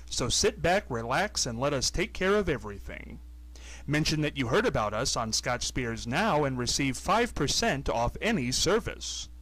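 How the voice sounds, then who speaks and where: A man reading a scripted local radio ad as an energetic sales pitch. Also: poor audio. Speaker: ad announcer.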